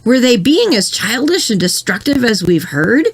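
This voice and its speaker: Actress as female news anchor, fairly high voice, lively intonation, polished, inquiring, satirical radio. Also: normal speed, breathy edge, exaggerated voice. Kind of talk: scripted satirical newscast.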